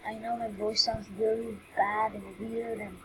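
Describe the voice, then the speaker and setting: A young woman with a slightly high voice talks in a rambling, halting way, filling her pauses with ums and uhs. Her cheap microphone gives the sound a rough edge, with a faint hiss of noise underneath. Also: flat delivery, a bit bright. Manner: rambling, hesitant, many fillers. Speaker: new YouTuber. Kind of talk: casual vlog intro. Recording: faint background noise, poor mic.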